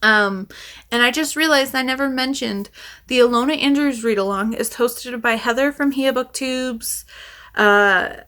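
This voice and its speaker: A woman's voice: a little high, a little slow, sharp tone. A woman talking as a book vlogger in a casual, chatty way, meandering and interrupting herself as she goes. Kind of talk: casual chat to camera, rambling.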